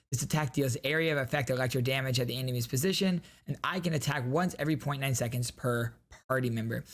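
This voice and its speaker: A man hosting a gaming video, giving casual, enthusiastic reaction commentary in slangy language. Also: medium pitch.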